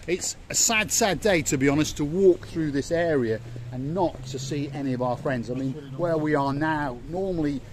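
A man's voice: on the high side, moderate pace, slight background noise. A man in his fifties presenting a walking travel vlog to camera, enthusiastic and chatty, filmed on a phone at arm's length outdoors at a seaside harbour. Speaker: vlogger. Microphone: phone held at arm's length.

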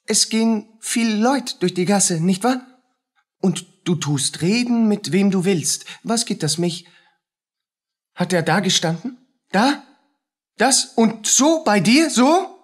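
Tormented soldier, radio drama, slow halting delivery, husky, jealous, agitated. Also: male voice, high voice, expressive, full character voice. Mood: jealous, probing. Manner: halting, brooding, agitated fragments. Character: tormented, jealous, feverish poor soldier, a man. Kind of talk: German radio play acting.

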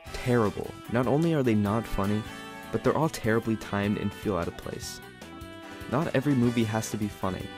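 A man with a fairly low voice delivers a scripted film review. He sounds sarcastic and fed up, and soft music plays underneath. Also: brisk. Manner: sarcastic, exasperated critique. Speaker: movie reviewer.

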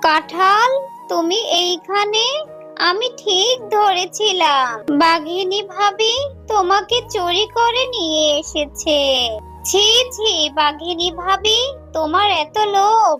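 A woman's voice: very high-pitched, takes it slowly, pushed and bright, exaggerated voice. A woman voicing a caring, upright mother vixen in a children's cartoon, with an emphatic, exclaiming manner. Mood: indignant. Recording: music behind the voice.

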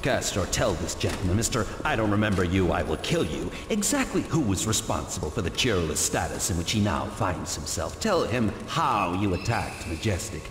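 A man's voice: sits low, big pitch swings, moderate pace, few pauses, background noise. A man playing a smug, cruel villain commander in a video game, pompous and mocking in a theatrical way. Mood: gloating, cold. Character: smug, cruel male villain commander taunting beaten rebels. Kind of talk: dramatic video game voice acting. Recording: echoey hall reverb under loud music.

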